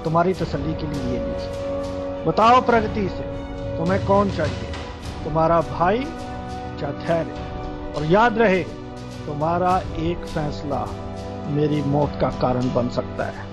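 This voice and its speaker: An actor playing a domineering older brother who shouts contemptuous threats in a radio play. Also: male voice, a little high, expressive, slow, long pauses, over music. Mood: threatening. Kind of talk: amateur radio drama acting.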